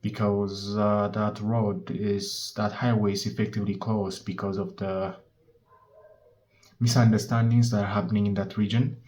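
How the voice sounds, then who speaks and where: A man's voice: on the low side, level tone, normal speed, darkish tone. A nineteen-year-old man talking frankly to his handheld phone in a casual vlog, swearing often and restarting his sentences. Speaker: vlogger.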